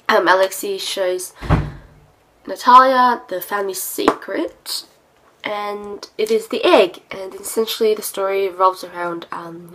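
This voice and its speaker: A woman's voice: medium pitch, a bit bright, slight background noise, poor audio. Young woman with a stuffy, sick nasal voice. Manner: rambling with many ums and self-corrections. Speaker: book vlogger. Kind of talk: casual chatty book haul. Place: small bedroom with bookshelves, indoors.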